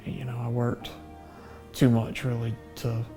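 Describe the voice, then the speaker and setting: A man with a Southern US accent giving halting, measured interview answers. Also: medium pitch, monotone, music in the background. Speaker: interviewee, doctoral graduate.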